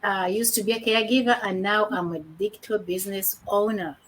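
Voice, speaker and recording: A woman's voice: mid-range voice, steady tone, brisk, fairly bright tone, a little hiss or hum, poor audio. Enthusiastic woman co-host. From Uganda.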